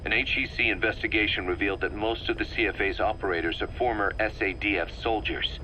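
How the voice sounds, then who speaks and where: A blunt mercenary commander. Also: male voice, lowish voice, fairly even intonation, pushed and bright, slight background noise, poor audio. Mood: briefing. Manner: brisk, direct, blunt warnings. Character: gruff male mercenary commander giving a briefing. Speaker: commander giving a briefing. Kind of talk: video game voice acting.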